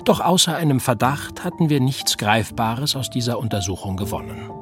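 A man narrating an old-fashioned detective tale in a measured, formal first-person voice. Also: mid-range voice, lively intonation, music in the background, close to an everyday voice. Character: measured male storyteller, scholarly.

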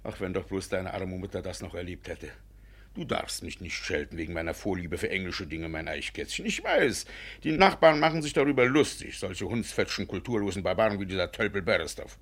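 A man's voice: deep voice, expressive, a little fast. An actor in a German radio play, playing a pompous, doting middle-aged Russian landowner and father who is effusive and easily indignant.

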